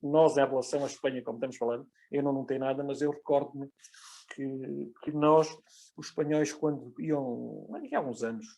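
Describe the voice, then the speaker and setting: A man with a European Portuguese accent giving his view as a football guest, halting and repeating words as he argues. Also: medium pitch, fairly even intonation, vocal fry, darkish tone, low-quality recording. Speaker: football guest, former player. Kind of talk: casual panel discussion.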